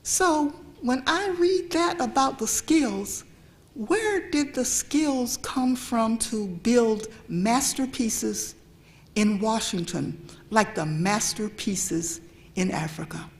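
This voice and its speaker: A woman's voice: a little high, animated, takes it slowly. A woman speaking as a guest at a church event. She talks conversationally, checks in with the audience and drops to a near whisper to stress a point. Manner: conversational, asks the audience "okay?". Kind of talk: public talk on history. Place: church meeting room.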